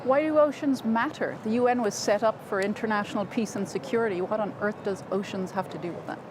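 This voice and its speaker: A woman hosts a live interview, speaking fluently and with upbeat energy. Her voice sits at a middle pitch. Clear city and traffic noise runs behind the outdoor recording. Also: fast. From Ireland. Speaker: host of livestream interview series. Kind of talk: scripted host introduction and questions.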